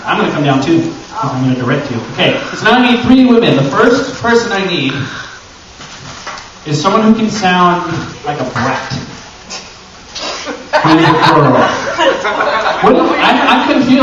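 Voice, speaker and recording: A distant, muffled recording in a noisy, reverberant room carries a man presenting at a convention panel. He talks informally and directly to the crowd in a chatty, joking way, like a host running the session. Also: high voice. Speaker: panel presenter and audio drama creator. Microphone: distant camera microphone.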